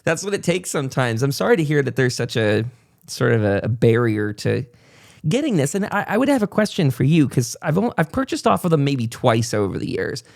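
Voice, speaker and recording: A man with an American accent hosts a podcast in a voice of average pitch. He talks casually and conversationally, in long rambling sentences. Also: big pitch swings, brisk, gravelly, a little mellow. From United States. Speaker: talk show podcast host. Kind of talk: casual interview chat.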